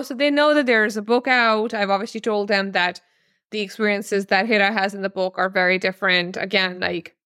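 A woman's voice: medium pitch, bright, forceful tone, clear, resonant tone, no echo, studio quality. A woman in her thirties from Pakistan, a novelist answering interview questions thoughtfully, with many fillers and restarts. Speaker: novelist guest.

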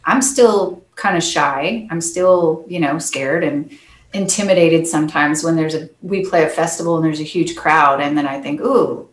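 A soft-spoken woman, a percussionist guest, chatting earnestly and laughing often in an interview. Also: sits low, normal speed, roomy sound, a little hiss or hum. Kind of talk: casual interview chat.

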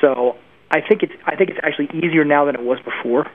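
A man's voice: a little high, fast talker, a little hiss or hum. A man speaking as an expert guest in an interview, giving opinionated conversational commentary and repeating phrases for emphasis. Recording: narrow phone-like band, lo-fi internet radio.

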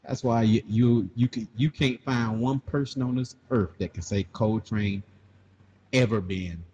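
A man's voice: medium pitch, measured pace, darkish tone, lo-fi sound, slight background noise. A man of about forty, chatting casually as a podcast co-host, laid-back and laughing often. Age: 40s. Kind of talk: casual chat.

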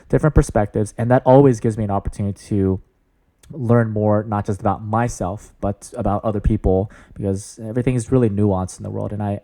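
A man in his thirties with a booming voice, talking earnestly and enthusiastically about student leadership in an interview. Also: creaky, mellow. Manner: earnest, upbeat, enthusiastic with frequent fillers. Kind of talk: interview answers.

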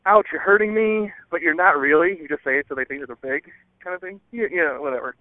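A man caller leaving a casual, rambling voicemail in hesitant, halting phrases over a phone line. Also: on the high side, dry recording. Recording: thin, dry phone line.

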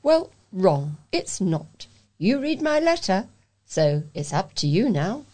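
A woman with a British accent reads printed letters aloud for a local talking newspaper. Her voice sits at a middle pitch, and she delivers the text as a volunteer reader would. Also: very animated, slow pace, mellow.

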